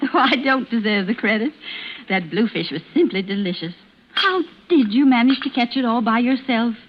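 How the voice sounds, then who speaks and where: An actress playing a sweet, nervous, gushing wife given to dramatics, in the style of 1940s radio drama. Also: female voice, fairly high pitch, lively intonation, normal speed, lightly acted. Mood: sweet, fluttery. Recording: muffled, noisy vintage radio recording.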